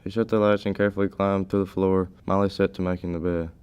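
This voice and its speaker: Teenage male, story narrator, deep smooth voice, flat delivery, scripted reading. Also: quick pace, lo-fi sound.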